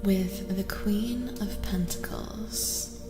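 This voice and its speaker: A woman streaming soft-spoken tarot readings in a gentle, soothing ASMR manner, repeating phrases softly and sometimes dropping toward a whisper. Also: medium pitch, very slow. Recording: reverberant echo, music behind the voice. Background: music.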